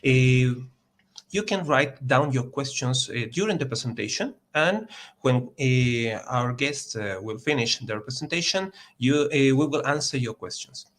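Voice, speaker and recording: A man hosting a webinar, introducing guests in halting English with many pauses. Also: medium pitch, animated, a bit bright. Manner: halting, non-native English phrasing. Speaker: webinar host.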